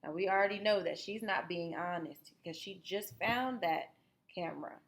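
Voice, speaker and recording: A woman reading a children's story aloud as a teacher, expressively, pausing to explain it to young listeners. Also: fairly low pitch. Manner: animated, explanatory, guiding listeners through the story.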